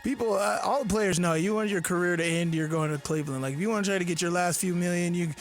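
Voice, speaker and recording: Gravelly guy on sports radio, stumbles n repeats himself, kinda high voice. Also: faint music behind. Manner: halting, repeats words, blunt opinions. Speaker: fill-in radio co-host. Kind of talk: casual sports radio chat.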